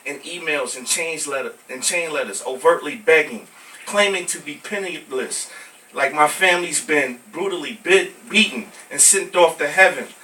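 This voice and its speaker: An American man from Brooklyn performs spoken word poetry in an emphatic, deliberate way. His voice is bright and slightly high. He is recorded at some distance from the mic, in a live venue. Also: slight background noise. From Brooklyn, New York, United States.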